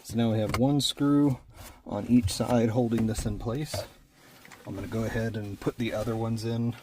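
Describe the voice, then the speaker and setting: A man's voice: fairly low pitch, steady tone, normal speed, noisy background. A man giving a casual, plain-spoken hands-on tutorial, talking through each step as he works. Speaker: vlogger doing a DIY truck install.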